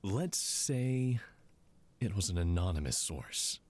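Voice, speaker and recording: An actor playing a smug, condescending intelligence commander in a scripted drama, talking down to people in a mocking way. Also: male voice, sits low, animated, slow, a little mellow, full character voice. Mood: smug, calculating. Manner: condescending, mocking, drawling asides.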